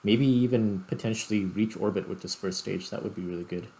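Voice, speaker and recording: A man's voice: fairly low pitch, a little fast, dark tone, poor audio. A man giving casual gameplay commentary as a video host, thinking aloud as he explains things.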